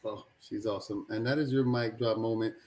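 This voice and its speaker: A man hosting a live stream with energetic, hype-style shout-outs, bringing guests in and out. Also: lowish voice, brisk. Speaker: host.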